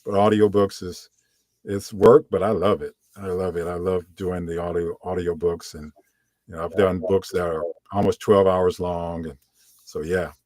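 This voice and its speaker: A man with a deep, low voice talks casually about his work. He rambles and stops often to say "you know" and "um". He is recorded close to the mic in a dry room. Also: normal speed, no echo. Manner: relaxed, rambling, many fillers. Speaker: audiobook narrator and voiceover coach. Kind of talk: casual interview answers.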